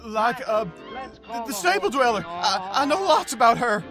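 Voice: mid-range voice, very animated, moderate pace, over music, close to an everyday voice. An actor playing a terrified pony bartender in an audio drama, stammering and pleading. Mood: desperate bargaining. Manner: stammering, begging.

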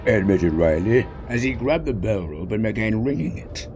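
A man's voice: fairly low pitch, expressive, normal speed, over music. A man reading a scripted story as its narrator. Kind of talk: scripted narration of fan fiction. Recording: clear background noise.

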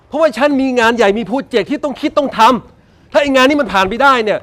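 A man's voice: very high-pitched, lively intonation, a bit bright. An actor playing an exasperated husband who scolds his wife in a rush before leaving for work. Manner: fast, impatient scolding. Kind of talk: Thai melodrama acting.

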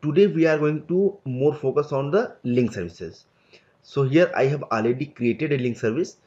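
A man explaining a cloud software tutorial step by step over a screen recording, in loose, conversational phrasing. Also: mid-range voice, dull audio. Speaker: tutorial instructor.

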